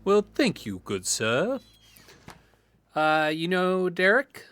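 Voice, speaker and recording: A man's voice: sits high, big pitch swings, slow, smooth, heavily acted. A man playing an easygoing, slangy teenage boy in an audio drama. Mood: upbeat. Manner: casual, slangy banter. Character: easygoing, sweary teenage boy, a loyal friend. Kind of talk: naturalistic audio drama acting. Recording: loud music under the voice.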